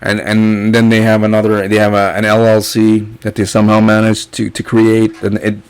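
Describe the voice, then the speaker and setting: A man's voice: on the low side, completely flat delivery, normal speed, smooth. A man from Guyana talking through a screen share in a casual, blunt and mocking rant, cursing freely as he walks viewers through what he finds. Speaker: online income youtuber.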